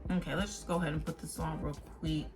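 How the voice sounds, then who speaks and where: A woman's voice: low voice, little pitch movement, fast, over music. A woman hosting a beauty vlog, talking casually and directly to viewers in an informal, chatty review style. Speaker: beauty vlogger and host.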